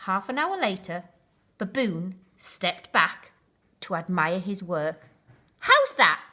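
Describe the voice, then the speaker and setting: School storyteller, female: unhurried children's bedtime reading, bright clear-cut tone, highly expressive intonation.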